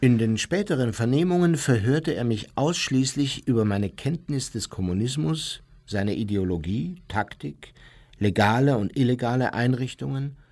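An unrepentant male war criminal in a radio drama. His mid-pitched voice is husky, and he recounts his past in measured, formal sentences without emotion. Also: lively intonation, a little mellow. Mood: matter-of-fact. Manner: measured, matter-of-fact, formal. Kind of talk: radio play first-person memoir narration.

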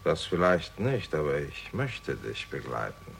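Grave older father, extremely low, swooping intonation, solemn, measured, 1960s German radio play. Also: big pitch swings, vocal fry, fairly bright tone, full character voice. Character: grave, solemn, slow-spoken older father, quietly insistent. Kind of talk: 1960s German radio crime drama acting. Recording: faintly noisy 1960s radio recording.

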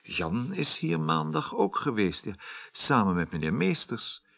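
An actor playing a pious, formal party official who speaks hesitantly and deliberately in a radio drama. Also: male voice, medium pitch, big pitch swings, vocal fry, fairly bright tone, full character voice. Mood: hesitant, confiding.

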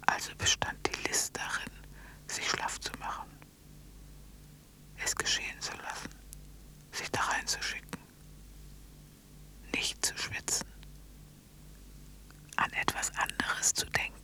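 Radio drama narrator: whispered throughout, intimate, eerie, deliberate phrasing. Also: sharp tone, low-quality recording. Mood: hushed, intense.

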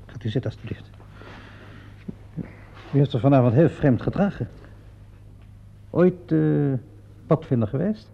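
An actor playing a sardonic, probing police inspector in a radio play, drawling ironic questions with small interjections. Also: male voice, medium pitch, animated, unhurried, long pauses, breathy edge. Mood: mocking, stern. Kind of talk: radio play acting in Dutch. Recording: dull lo-fi radio play recording.

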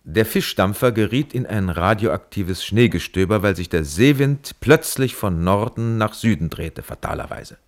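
An actor playing a coolly pragmatic nuclear physicist giving detached, dry, assured testimony in a German radio play. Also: male voice, lowish voice, lively intonation, moderate pace, hardly any pauses. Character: coolly pragmatic male nuclear physicist defending weapons tests.

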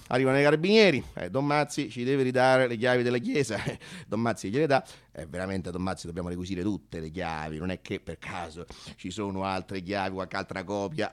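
A man telling a story as a theatrical radio monologue, emphatic, colloquial and ironic, piling up lists and repetitions. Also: fairly low pitch. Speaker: narrator and actor.

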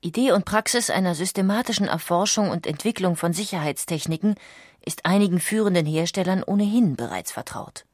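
A woman reading scripted documentary narration with measured, factual delivery. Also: fairly low pitch, dry recording, clean studio sound.

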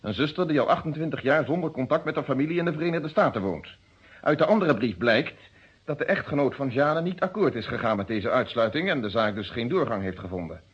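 A male police inspector in an old detective radio play. He is methodical and unyielding, wording things formally and precisely in a husky voice. The recording is dull and lo-fi. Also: mid-range voice, animated. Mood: measured, explanatory. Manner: formal, precise, deliberate phrasing. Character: methodical, unyielding police inspector questioning his own friend. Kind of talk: Dutch detective radio drama acting.

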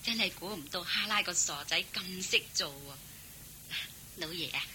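A woman's voice: fairly low pitch, normal speed, noisy background, lightly acted. An actress playing a shrewd, chatty household servant woman in a Cantonese radio drama, coaxing and practical. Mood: helpful. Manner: coaxing, colloquial, exclaiming.

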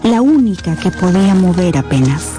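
A woman's voice: lowish voice, big pitch swings, moderate pace, mellow, faint music behind. A woman narrating a radio drama as scripted, dramatic storytelling.

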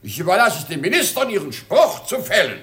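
A man's voice: high-pitched, wildly swooping pitch, brisk, pushed and bright, heavily acted. A man playing a weary, exasperated old emperor in a theatrical radio play, declaiming his lines. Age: older. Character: weary, querulous old emperor of China and doting father.

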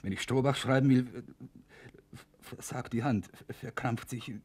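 An actor playing a bitter, resigned middle-aged professor in prison in a German radio play, measured and halting, with dry sarcasm. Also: male voice, medium pitch, darkish tone, natural voice. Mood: anguished.

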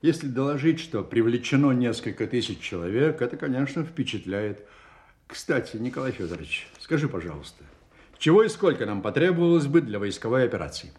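An actor in a radio play voicing a stubborn, sardonic counterintelligence general with an emphatic, authoritative delivery. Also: male voice, medium pitch, very animated, a little fast, a little mellow, natural voice. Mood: sardonic, pointed. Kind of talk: Soviet-style radio play acting.

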